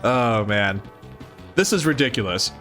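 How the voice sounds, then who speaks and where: A man's voice: medium pitch, animated, a little slow, a bit bright, faint music behind. A man doing a live read-aloud, switching between theatrical mock-solemn reading and casual chatty asides. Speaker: streamer reading a webcomic aloud for the first time.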